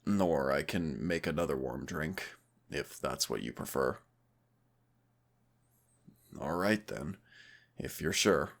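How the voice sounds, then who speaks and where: Very deep-voiced tender boyfriend, acted by a man. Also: animated, takes it slowly, pauses often, heavily acted. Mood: caring, reassuring. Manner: tender, reassuring, conversational. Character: affectionate, cautious, playful boyfriend sheltering from a snowstorm. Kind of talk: intimate audio roleplay, one side of a conversation.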